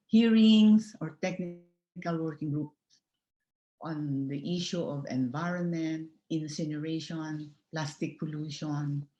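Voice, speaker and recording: Lady doing a formal slide talk, lowish voice, lots of room echo, measured delivery. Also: mellow. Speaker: presenter from an environmental organization. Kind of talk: slide presentation at a conference. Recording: echoey room sound.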